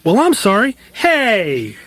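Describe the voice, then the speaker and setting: A man's voice: high-pitched, wildly swooping pitch, slow, fairly bright tone, no room sound, heavily acted. A man playing a pesky, taunting young punk with a mocking, needling manner in a comedic radio play. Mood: pushy.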